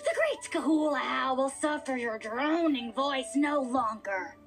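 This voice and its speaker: A woman's voice: high voice, big pitch swings, measured pace, far from the mic, faint music behind, heavily acted. A woman voicing a grandiose, scornful, irritable little creature in a video game, with imperious delivery. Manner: imperious, third-person boasting.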